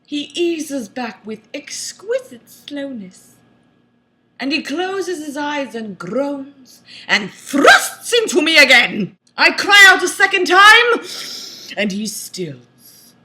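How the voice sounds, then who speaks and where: Female reader for comedic novel narration: theatrical, overemphatic, very animated, unhurried, mock-dramatic. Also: high-pitched, low-quality recording. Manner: theatrical, exaggerated emphasis.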